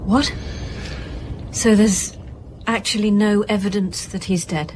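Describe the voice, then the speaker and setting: Radio drama actress as sceptical female police inspector: clipped, dry, mid-pitched, with background ambience. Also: steady tone, takes it slowly, darkish tone, slight background noise, natural voice. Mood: probing. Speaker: actor playing police detective. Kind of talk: naturalistic radio drama dialogue.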